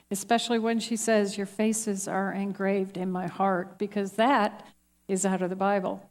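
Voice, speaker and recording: Slow-talking youth group leader in a hall. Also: female voice, mid-range voice, a little mellow. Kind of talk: reflective teaching to a group.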